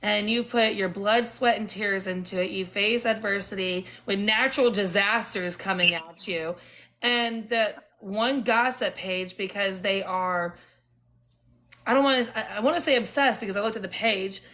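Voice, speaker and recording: A woman's voice: mid-range voice, normal speed, pushed and bright. Chatty, sympathetic woman host. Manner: sympathetic, chatty, laughs along. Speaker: podcast host. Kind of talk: casual interview chat.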